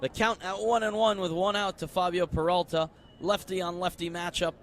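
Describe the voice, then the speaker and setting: A man's voice: high-pitched, little pitch movement, normal speed, a little hiss or hum. A young American man doing live radio play-by-play, conversational, stretching words on the big calls. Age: young adult. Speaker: play-by-play sports announcer.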